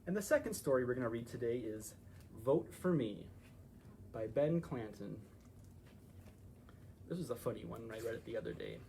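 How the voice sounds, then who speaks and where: A man presents picture books for children in an expressive way, adding casual asides. His pitch is very lively and varied, and there is faint noise behind him. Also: moderate pace, gravelly, pauses often. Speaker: library story time presenter. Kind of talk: animated picture-book reading with asides.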